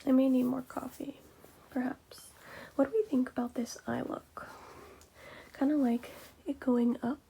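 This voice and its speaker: A woman vlogger chatting casually behind the scenes, soft-spoken and often breathy, nearly whispering. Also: sits high, steady tone, measured pace, pauses often. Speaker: ASMR video creator and vlogger.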